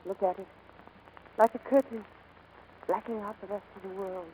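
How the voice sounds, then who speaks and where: A woman's voice: mid-range voice, steady tone, normal speed, warm tone, a little hiss or hum, natural voice, rough recording. An actress playing a brave, lovestruck woman aviator, tender and resolute, in 1940s radio drama style. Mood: tender, wistful. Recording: vintage 1940s radio recording, faint music.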